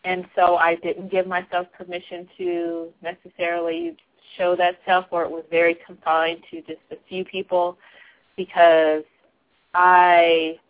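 Soft-spoken lady chatting on a tinny phone line, lowish voice, laughs a lot. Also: little pitch movement, unhurried. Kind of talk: casual group discussion.

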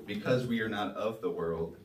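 A man leading a church service, reading scripture with measured emphasis and preaching. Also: fairly low pitch. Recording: roomy sound from a distance.

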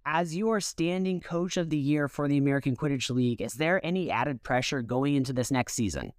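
A man hosts a sports interview show. His voice sits a little higher than most men's as he puts questions to a guest and links the segments. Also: fairly quick, no room sound, studio quality.

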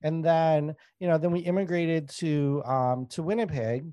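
A fairly high-voiced man in his thirties from Canada, telling stories openly with easy laughs and plenty of swearing. Very dry, close sound with no room around him. He talks loosely, packing his lines with fillers like a guest in a relaxed podcast chat. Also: moderate pace, dry recording. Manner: candid, laughing, swears freely, many fillers. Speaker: guest comedian.

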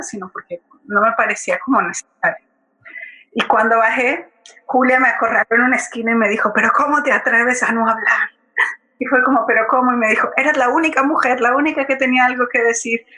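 Bright-voiced woman, conversational guest. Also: a little high, poor audio. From Colombia. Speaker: guest playwright and theatre director. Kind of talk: conversational account in a public forum.

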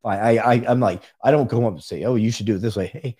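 A man's voice: lowish voice, racing, warm tone, slightly rough. A middle-aged man, a guest on a podcast, telling stories emphatically and chiming in with "right" as he chats casually. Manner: emphatic storytelling, frequent "right". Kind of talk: casual conversation.

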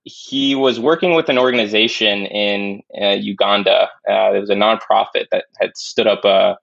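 Gravelly, flat-voiced young man. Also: fairly low pitch, moderate pace, no room sound. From born in Spain, raised in New York. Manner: thoughtful, many restarts and fillers. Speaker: podcast guest, climate investor. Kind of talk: casual interview talk. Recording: dull dry lo-fi sound.

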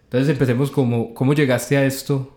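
A man's voice: medium pitch, flat delivery, fairly quick, darkish tone, echoey room. A young man hosting a casual, easygoing podcast chat. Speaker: podcast host.

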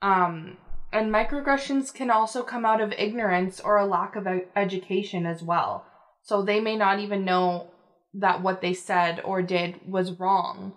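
A young woman in a casual conversation, explaining ideas in a measured way with frequent fillers. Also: mid-range voice, steady tone.

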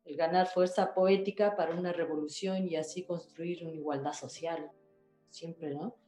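A woman's voice: lowish voice, steady tone, normal speed, warm tone, echoey room, soft background music. A woman talks casually as an interview guest, in a chatty, rambling way, often checking back with the listener. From Ecuador.

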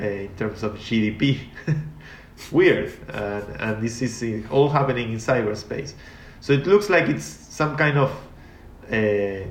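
A man's voice: medium pitch, slight background noise. Man in an echoey room. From Argentina. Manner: reflective, rambling with frequent restarts and fillers. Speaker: guest. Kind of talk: casual interview talk.

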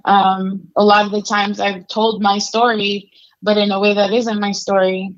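A woman's voice: medium pitch, level tone, measured pace, dull audio. Candid woman telling her story. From Mexico. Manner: candid, conversational, many fillers. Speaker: interview guest. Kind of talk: casual storytelling interview.

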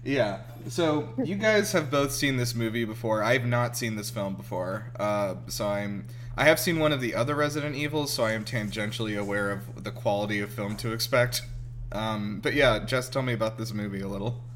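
A man's voice: lowish voice, normal speed, fairly bright tone. A man hosting a casual movie reaction chat in a wry, joking way. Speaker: host.

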